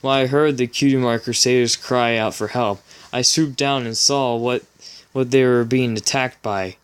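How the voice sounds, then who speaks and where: A man's voice: medium pitch, fairly even intonation, normal speed, smooth. A man reading a story aloud as a channel host, in an amused dramatic style with giggly asides of commentary.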